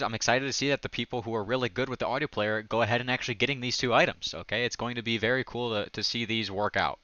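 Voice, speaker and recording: A man's voice: lowish voice, sharp tone, no echo, highs cut off. A man giving a casual, enthusiastic walkthrough of a game update, like a gaming video creator.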